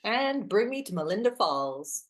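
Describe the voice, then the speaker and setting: An actress playing an awkward, literal-minded young woman in a play reading, a hopeless romantic with a hesitant, wry deadpan manner. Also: mid-range voice, a little slow. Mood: dry, hopeful. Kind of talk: two-hander stage play reading.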